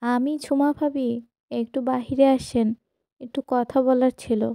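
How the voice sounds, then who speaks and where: A woman's voice: high voice, fairly even intonation, a little slow, dark tone. A woman playing a worried, dutiful village wife in a cartoon, plaintive and pleading. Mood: calling out. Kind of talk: cartoon voice acting.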